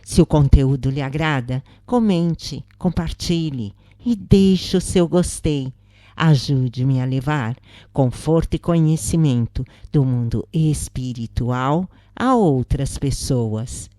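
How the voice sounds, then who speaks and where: A woman with a Brazilian accent reading a scripted story as a narrator, in a measured, deliberate way. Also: rumbling low, lively intonation, dark tone, no echo, clean studio sound. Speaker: narrator of spiritist stories.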